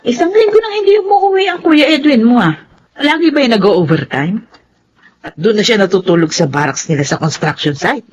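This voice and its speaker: A woman's voice: a little high, expressive, moderate pace, a little mellow, muffled recording, slight background noise, close to an everyday voice. An actress playing a demanding, hot-tempered middle-aged Filipino mother in a radio drama, nagging and emotional. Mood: suspicious.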